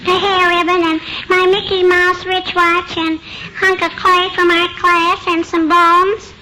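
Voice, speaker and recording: A woman's voice: extremely high pitch, little pitch movement, unhurried, pushed and bright, full character voice. A woman playing an inquisitive, earnest little schoolgirl in old radio comedy, with childish phrasing. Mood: earnest, chatty. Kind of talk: 1940s radio comedy acting. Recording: noisy old radio broadcast recording.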